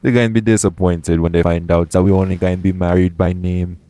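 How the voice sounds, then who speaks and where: A man playing a shady, smooth-talking pirate captain in an audio roleplay, coaxing and self-assured in a conversational way. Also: low-pitched, level tone, fast, noisy background, lightly acted. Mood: reassuring. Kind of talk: second-person audio roleplay acting.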